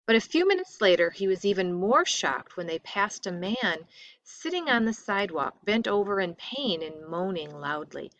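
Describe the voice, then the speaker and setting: A woman teacher reading a textbook story aloud expressively, with deliberate dramatic emphasis and phrases that drop away softly at the end. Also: medium pitch, big pitch swings, dry recording, lo-fi sound.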